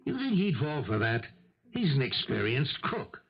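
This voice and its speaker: A man playing an exasperated, no-nonsense police lieutenant in a radio mystery drama, scolding and world-weary, with a gravelly, husky voice. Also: medium pitch, very animated, normal speed, full character voice. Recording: muffled 1970s radio drama recording.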